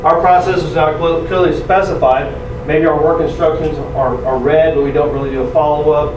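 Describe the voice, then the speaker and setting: A man in his forties giving a loud, energetic, self-deprecating presentation to a conference audience. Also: a little high, brisk. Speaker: conference speaker. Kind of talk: presentation talk. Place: large conference room. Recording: echoey room from far off, noisy.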